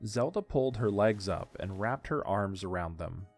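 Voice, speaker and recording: A man's voice: on the low side, lively intonation, normal speed. A man reading scripted story narration as the narrator of an audio drama. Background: faint music.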